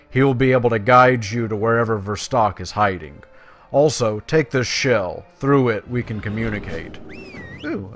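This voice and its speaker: A man giving casual video game commentary, reading quest text and adding wry, offhand asides. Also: fairly low pitch. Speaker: gaming video creator. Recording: game music behind the voice. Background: video game music.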